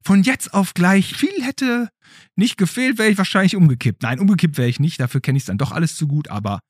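A man, middle-aged, hosting and telling stories in a casual, chatty way with a husky voice. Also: sits high, dry recording, studio quality. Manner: animated, chatty. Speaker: host. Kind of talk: casual storytelling and chat with a child.

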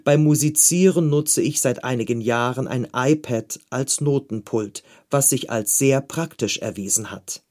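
Guy reading a magazine article out loud, slow and even, calm measured delivery. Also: medium pitch.

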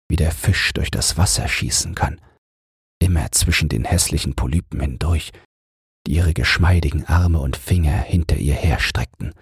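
A male audiobook narrator tells a bedtime fairy tale calmly. His voice is low and heavily breathy, captured close in a dry room. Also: dry recording.

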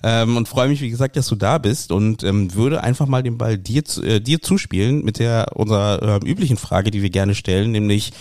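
Casting: male interview podcast host, informal and talkative, low-pitched, close dry sound. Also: brisk, few pauses, darkish tone, no room sound. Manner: informal, chatty, thinks aloud and rephrases questions. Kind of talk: casual conversational podcast interview.

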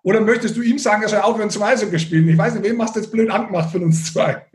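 A man's voice: high voice, steady tone, racing, a little mellow. A man hosting a sports podcast, chatting casually with a teasing, sarcastic manner. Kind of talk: casual bantering podcast chat.

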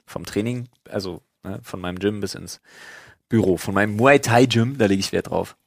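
A man's voice: lowish voice, normal speed, creaky, no echo. A man chatting casually as a podcast host, telling stories in a slangy, sweary, mock-exasperated way. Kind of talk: casual chat, storytelling.

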